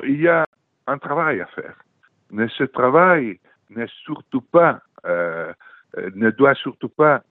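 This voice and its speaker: A man's voice: fairly low pitch, dry recording. Older Italian-accented man on a phone line. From Italy. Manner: earnest, hesitant with many fillers. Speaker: political journalist, guest. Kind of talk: radio interview by phone. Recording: tinny dry phone line.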